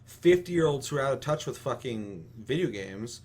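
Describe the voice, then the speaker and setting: A man's voice: mid-range voice, expressive, normal speed, darkish tone. A man chatting casually as a podcast host, giving opinions in an emphatic, rambling way full of profanity. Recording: clearly audible hum and noise.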